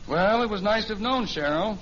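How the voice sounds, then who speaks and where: A man's voice: high voice, lively intonation, brisk. An actor playing a wry, teasing middle-aged father in a 1950s radio family sitcom. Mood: dry, affectionate. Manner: dry, teasing exaggeration. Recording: muffled, noisy old radio recording.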